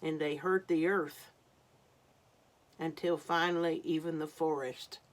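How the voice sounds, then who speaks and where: Female children's storyteller. Lowish voice, very slow pace, dramatic reading with murmured asides to young listeners. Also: steady tone. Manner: dramatic, unhurried, drops to hushed murmurs in asides.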